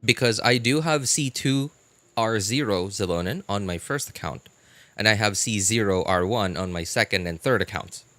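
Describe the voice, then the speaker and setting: A man casually chatting as a live-stream host, answering viewers in a halting way and repeating his phrases. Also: fairly low pitch, no echo. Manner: halting, repeats phrases, animated incredulous reactions.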